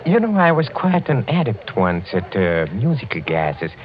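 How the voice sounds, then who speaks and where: An actor playing a suave, sinister older European count in 1940s radio style, courtly and insinuating, halting and chuckling softly. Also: male voice, lowish voice, big pitch swings. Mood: playful, eccentric. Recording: muffled old 1940s radio recording, faint music.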